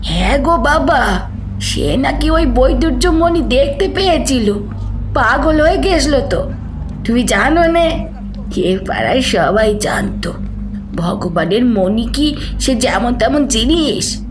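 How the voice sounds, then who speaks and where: A woman's voice: sits high, animated, music in the background. A woman acting a superstitious, bitter elderly neighbourhood gossip in an audio drama, with a folksy, emphatic manner. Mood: gossipy, knowing. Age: older. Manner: folksy, drawn-out, emphatic telling.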